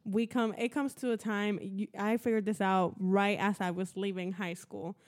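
A woman's voice: mid-range voice, little pitch movement, normal speed, darkish tone. A young woman hosting a casual interview, chatting in an agreeable, giggly and slangy way. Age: young adult. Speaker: interviewer, music producer.

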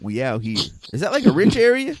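A man's voice: high-pitched, huge pitch swings, brisk, no echo. A man co-hosting a podcast in casual chat, telling stories in loose slang with lots of fillers. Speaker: podcast co-host.

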